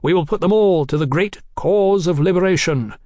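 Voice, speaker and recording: Guy doing a young fanatic rebel in an audiobook, haughty, speechifying, pitched way up, very dry sound. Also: normal speed, darkish tone, dry recording, natural voice. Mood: zealous. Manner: declamatory, oratorical, speechifying. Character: zealous young male revolutionary, grandiose, haughty, swaggering. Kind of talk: audiobook character acting.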